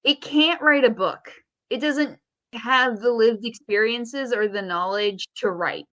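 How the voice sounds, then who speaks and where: A woman talking casually as a podcast co-host, earnest and a bit halting as she argues her points. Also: fairly high pitch, fairly bright tone, dull audio. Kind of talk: casual speculative podcast chat.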